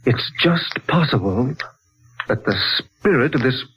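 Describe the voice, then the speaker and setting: A man's voice: mid-range voice, expressive, measured pace, a bit bright, breathy edge, exaggerated voice. An actor playing an earnest, educated amateur investigator in a 1940s radio drama, precise and grave in his delivery. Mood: speculative, intrigued. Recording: muffled, noisy 1940s radio recording.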